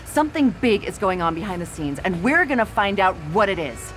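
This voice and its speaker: An actress playing a determined reporter in a video game, giving an emphatic, dramatic on-camera news report. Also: female voice, mid-range voice, big pitch swings, brisk, a bit bright, faint music behind.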